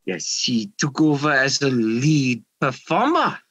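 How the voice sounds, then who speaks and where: A man's voice: mid-range voice, sing-song, takes it slowly, fairly bright tone, husky, no echo, lo-fi sound, exaggerated voice. A man playing a nervous, evasive French nightclub owner in an amateur radio mystery, stammering, repeating himself and getting defensive.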